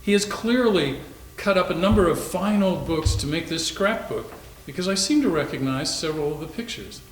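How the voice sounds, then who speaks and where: Excited young man, played by a male reader, slightly high voice, dramatic reading, echoey room, faint noise. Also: moderate pace, close to an everyday voice. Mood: intrigued. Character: excited, intrigued young man showing off a macabre find.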